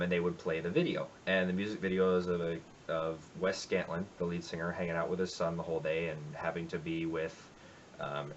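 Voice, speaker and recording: A man's voice: sits low, muffled recording, a little hiss or hum. A man talking casually and reflectively in his home music room about a song he covered, with lots of fillers. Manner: rambling, reflective, many fillers. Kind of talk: casual spoken intro to a cover.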